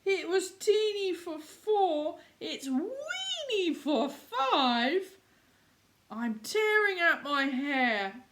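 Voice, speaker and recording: A woman voicing a flustered little old lady from a storybook, plaintive and dramatic, with drawn-out exclamations. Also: very high-pitched, big pitch swings, fairly bright tone, voice pushed up, full character voice. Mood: frantic, pleading.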